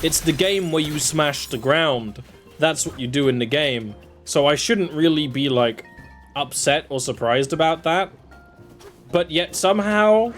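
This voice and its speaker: A young British man with a southern English accent, rambling casually while he streams a video game. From England. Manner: rambling, chatty, with sudden jumps in pitch. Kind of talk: casual gameplay commentary.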